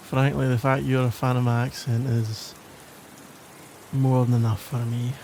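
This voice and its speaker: A man's voice: mid-range voice, little pitch movement, a little slow, warm tone, slight background noise, lightly acted. A man with a Glaswegian accent playing a flirty, grumbly stranger in a conversational ASMR roleplay, wry and self-deprecating. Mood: teasing, wry. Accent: Glaswegian Scottish.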